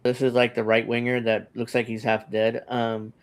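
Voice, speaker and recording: A man's voice: medium pitch, completely flat delivery, moderate pace. A man hosting a casual panel discussion, speaking loosely with fillers and restarts. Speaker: show host.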